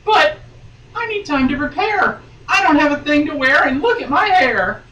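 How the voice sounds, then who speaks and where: A woman voicing a dazed, melodramatic young woman who has just become a princess, squeaky when flustered. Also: animated, moderate pace, a bit bright. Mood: panicked. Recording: roomy, noisy, distant home microphone.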